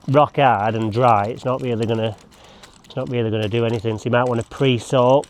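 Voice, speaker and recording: A man's voice: mid-range voice, a little mellow, slight background noise. Man, lawn-care vlogger, chatty and rambling, cracks jokes mid-task, outdoors with faint ambience. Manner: rambling, joking asides to camera. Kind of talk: casual chat while working.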